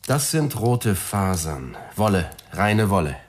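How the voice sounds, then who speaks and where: Radio crime drama lab man, low register, exact, deliberate, mildly showy police specialist. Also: very animated, slight background noise. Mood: precise, pleased. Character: male police forensics expert, precise, slightly showy.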